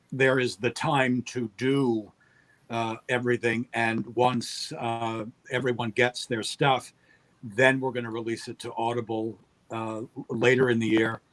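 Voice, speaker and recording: A man guesting on an interview as a producer, explaining casually in a halting, careful way. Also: medium pitch. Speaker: guest, audio drama producer.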